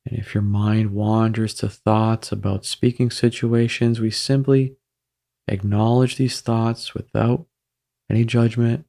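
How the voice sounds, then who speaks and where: A man guiding a meditation in a gentle, measured way, with frequent pauses between phrases. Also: lowish voice, little pitch movement, creaky. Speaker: meditation guide. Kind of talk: guided meditation narration.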